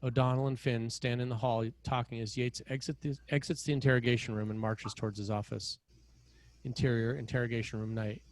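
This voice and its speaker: A man's voice: mid-range voice, little pitch movement, a little fast. A man reading scene headings and stage directions as the narrator of a screenplay table read.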